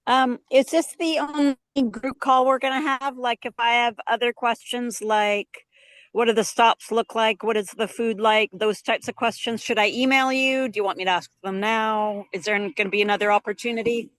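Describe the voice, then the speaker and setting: Quick woman, dry close sound. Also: a little high, steady tone, fairly bright tone, no echo. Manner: quick, lists questions. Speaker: tour participant asking a question. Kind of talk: casual group video call.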